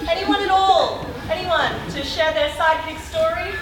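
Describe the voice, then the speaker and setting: A woman's voice: extremely high pitch, normal speed, crowd noise. A woman playing a polite, professional interviewer and group leader in an amateur comedy stage play. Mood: encouraging. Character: polite, brisk female interviewer and meeting leader. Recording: echoey hall from far off, very noisy.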